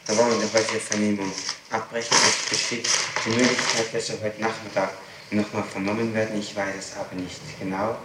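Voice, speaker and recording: A man's voice: on the low side, level tone, fairly quick. A man putting formal, insistent questions as a prosecutor in an interrogation, in a matter-of-fact way. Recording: echoey, noisy archival 1960s interrogation tape.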